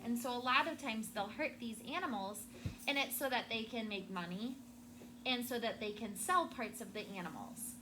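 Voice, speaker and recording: A woman teacher with a high voice leads a classroom read-aloud for children. She explains things in simple words and often checks that they follow. The recording has clear room noise. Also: normal speed. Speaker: schoolteacher.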